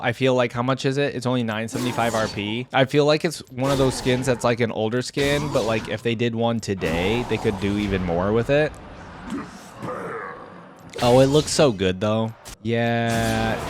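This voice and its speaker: A man giving casual, off-the-cuff reaction commentary as a video host, thinking aloud in an informal, exclamatory way. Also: mid-range voice.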